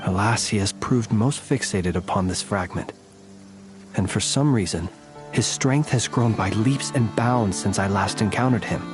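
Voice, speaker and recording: A man's voice: fairly low pitch, measured pace, music in the background, lightly acted. Man acting a courtly, world-weary warrior, formal speech. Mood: measured, explaining. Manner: formal, archaic, eloquent phrasing. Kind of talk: video game voice acting, scripted dialogue.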